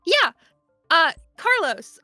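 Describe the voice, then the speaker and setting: A woman's voice: extremely high pitch, big pitch swings, slow, sharp tone, no room sound, studio quality, faint music behind, voice pushed up, heavily acted. A woman playing a perky, overeager young female intern with a gushing, earnest manner, in improvised tabletop roleplay. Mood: eager, bubbly. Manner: gushing, effusive.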